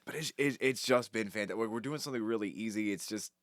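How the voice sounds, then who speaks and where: Low-voiced laughing male podcast co-host. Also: fast, no room sound. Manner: chatty, laughs mid-sentence, swears casually. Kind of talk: casual chat.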